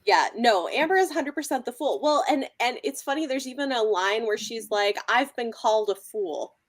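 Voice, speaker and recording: A woman's voice: a little high, expressive, normal speed. A woman chatting casually and emphatically as a co-host of a livestream book discussion.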